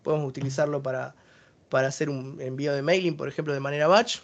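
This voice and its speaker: A man's voice: on the high side, steady tone, quick pace, gravelly, lo-fi sound. A man with an Argentine accent giving a technical webinar presentation, explaining each service step by step.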